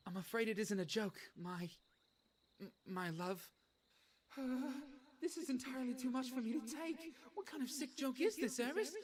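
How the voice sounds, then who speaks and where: A woman voicing a confused, awkward gentleman with a British accent in an animated comedy mystery. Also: on the high side, normal speed, pauses often. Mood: awkward, subdued. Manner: blunt, awkward, easily flustered.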